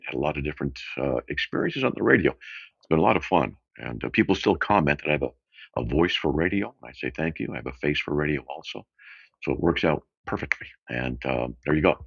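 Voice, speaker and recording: A middle-aged man hosting a radio call-in show, talking off the cuff in a casual, halting, meandering way with a gravelly, very deep voice. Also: dry recording. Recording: dull, muffled lo-fi radio audio.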